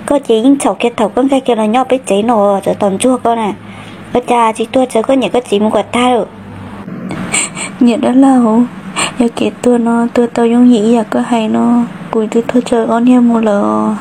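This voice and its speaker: A woman voicing a female character in an amateur animated cartoon drama. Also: fairly high pitch, normal speed, creaky, a little hiss or hum. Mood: conversational. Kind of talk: hobby animated cartoon dialogue.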